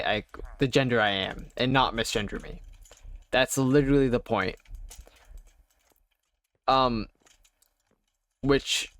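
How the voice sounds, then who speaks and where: A young adult man telling a personal story while playing a game, halting and wry, full of fillers and restarts. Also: medium pitch, a bit bright, smooth. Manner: wry, self-deprecating. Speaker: gaming YouTuber. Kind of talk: casual storytelling over gameplay.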